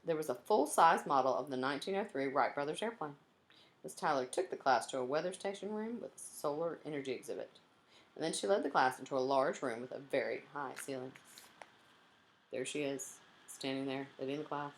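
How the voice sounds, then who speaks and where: Female storybook reader, teacherly, lower register, very animated intonation for a young audience. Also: brisk, rough recording. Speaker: teacher reading aloud to children. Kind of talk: expressive storybook narration.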